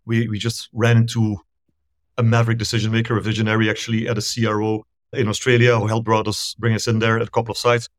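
A middle-aged man from Belgium, a startup founder explaining his business in a measured, thorough way during a remote podcast interview. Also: on the low side, completely flat delivery, dry recording, studio quality. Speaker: guest, startup founder and CEO.

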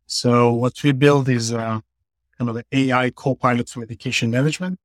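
A man's voice: mid-range voice, fairly even intonation, brisk, no room sound. Casting: male CEO from Israel, mid voice, earnest, filler-heavy, dry call sound. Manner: earnest explaining with many fillers. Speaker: company CEO guest. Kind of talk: podcast interview. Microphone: remote call.

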